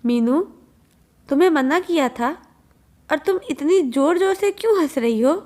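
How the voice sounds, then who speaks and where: Woman acting a fretful, high-voiced cartoon mother. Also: animated, unhurried, darkish tone. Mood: scolding. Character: scolding, anxious young mother and wife.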